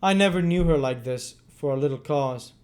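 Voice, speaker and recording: A man reading aloud and voicing a sly, wheedling old male fence who answers soft and thoughtful. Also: fairly high pitch, moderate pace, voice pushed up, natural voice.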